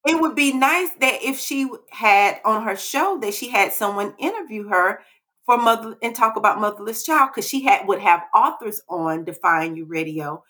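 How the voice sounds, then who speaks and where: A woman, co-hosting a casual chat and telling stories in a warm way, laughing easily. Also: mid-range voice, lively intonation, normal speed. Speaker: podcast co-host. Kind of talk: casual chat among friends.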